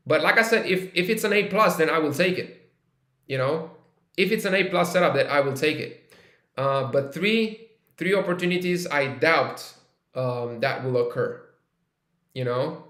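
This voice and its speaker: Relaxed Romanian man chatting on a live stream. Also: fairly high pitch, normal speed, pauses often, reverberant. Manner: relaxed, thinking aloud with many fillers and restarts. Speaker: live-stream trader host. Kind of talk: casual live-stream chat, reading viewer questions.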